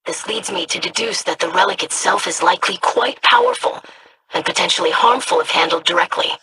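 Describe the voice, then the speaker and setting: A woman voicing a measured, studious young alien scholar in a video game. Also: on the low side, animated, sharp tone, slightly rough, exaggerated voice. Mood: thoughtful. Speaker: researcher.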